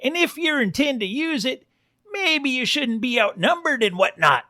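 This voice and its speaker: Storybook western pig barkeep: high, bright, deferential, American old-west drawl. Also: male voice, very animated, moderate pace, exaggerated voice. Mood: anxious, polite. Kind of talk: cartoonish western storybook character voice.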